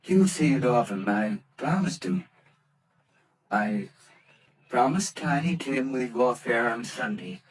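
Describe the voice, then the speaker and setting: A gentle, grieving poor father. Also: medium pitch, lively intonation, husky. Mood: sad, gentle. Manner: soft, subdued, halting. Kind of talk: 1940s radio acting.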